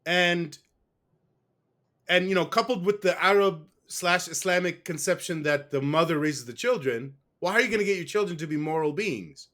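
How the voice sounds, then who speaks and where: A man's voice: high voice, normal speed, sharp tone. A man being interviewed, telling a long personal story in a rambling, casual way. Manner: rambling, with restarts and fillers. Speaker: guest. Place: West.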